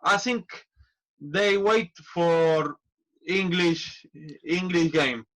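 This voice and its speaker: This man has a bright, forceful voice pitched a little high. He speaks halting non-native English full of restarts and repeated words. He is a football manager answering questions in a relaxed podcast chat. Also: rough recording. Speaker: football manager and former player, guest. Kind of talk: casual interview chat.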